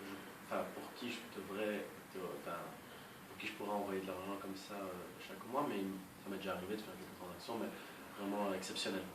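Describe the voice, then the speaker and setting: Low-voiced young man in an echoey room. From Belgium. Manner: calm, measured, with frequent hesitations. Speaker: interviewee. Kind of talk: casual interview answers. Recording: echoey room, voice far from mic, noisy.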